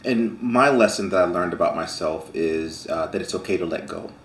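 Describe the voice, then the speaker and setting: A man co-hosting a casual farm chat who speaks in a reflective, measured way with fillers. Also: sits low, slight background noise. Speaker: farm vlog co-host. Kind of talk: casual conversation. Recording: echoey room.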